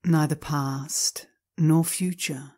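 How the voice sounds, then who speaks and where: A woman with a low, mellow voice guides a meditation. She reads very slowly and calmly, setting out each short phrase on its own and leaving space after it. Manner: calm, measured, short phrases with long pauses. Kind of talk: slow guided meditation reading.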